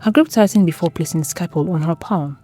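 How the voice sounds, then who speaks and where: A woman with a lowish, very mellow voice narrates gothic romance fiction. Her delivery is measured and solemn, set over a light music bed. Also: husky. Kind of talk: dramatic scripted story narration.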